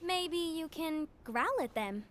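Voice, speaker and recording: A woman's voice: high-pitched, expressive, takes it slowly, a bit bright, slight background noise, heavily acted. A woman playing a spirited, protective young apprentice in a fantasy game cutscene. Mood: teasing.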